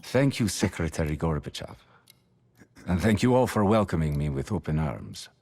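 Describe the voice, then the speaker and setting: An actor playing a polite, insinuating and menacing Soviet security chief in a game cutscene, with husky, silky formality. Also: male voice, sits low, very animated, normal speed, darkish tone, full character voice. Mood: silky, probing. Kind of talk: dramatic video game cutscene acting.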